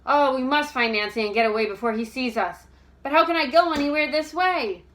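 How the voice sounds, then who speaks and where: A woman's voice: sits high, fairly quick, fairly bright tone, voice pushed up. A woman voicing an impatient, brusque young woman in a storybook, speaking in an emphatic, commanding way. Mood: alarmed.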